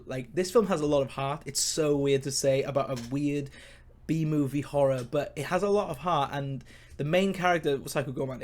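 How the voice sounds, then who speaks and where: A man's voice: a little high, darkish tone. A man hosting a casual, rambling film review, enthusiastic and emphatic, his speech slightly impeded by a sore mouth. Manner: enthusiastic, informal, emphatic and repetitive. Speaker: podcast host, film reviewer.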